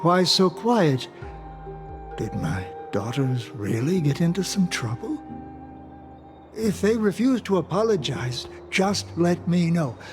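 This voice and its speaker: Halting, gentle elderly father. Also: fairly high pitch, very animated. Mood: kindly, wistful. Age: older. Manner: halting, wistful. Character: gentle elderly father, forgetful and doting, grieving. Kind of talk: dramatic game voice acting. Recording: music behind the voice. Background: clear background music.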